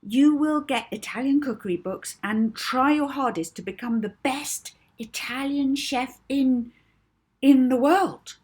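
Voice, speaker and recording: A woman voicing a young girl hypnotist in an audiobook, speaking in a deliberate, coaxing and commanding way. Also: high voice, a little mellow, breathy edge, pitched above the performer's own voice. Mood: firm, commanding. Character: young orphan girl hypnotist, soothing, bold, bossy. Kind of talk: audiobook character voice.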